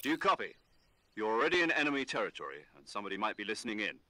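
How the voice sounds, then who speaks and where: A man playing a methodical military mission commander in a video game, speaking with deliberate authority. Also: fairly low pitch, big pitch swings, sharp tone, no echo, full character voice. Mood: measured, instructive. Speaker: commanding officer giving briefing.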